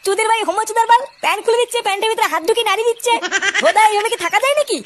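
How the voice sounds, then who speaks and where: A performer voicing a foul-mouthed, hot-tempered young village man in a comic cartoon, shouting crude rants. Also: extremely high pitch, racing. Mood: angry ranting.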